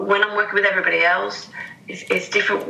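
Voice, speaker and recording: A man's voice: high-pitched, level tone, quick pace, vocal fry, bright, forceful tone, slight background noise. A man working as a dialect coach, giving encouraging instructions and repeating his phrasing. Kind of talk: coaching session. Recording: echoey room.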